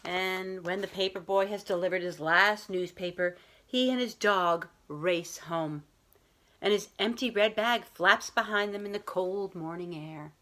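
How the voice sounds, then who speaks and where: A woman's voice: lowish voice, measured pace, a bit bright. A woman reading a picture book aloud as a school librarian, talking to young children in simple, explanatory phrasing.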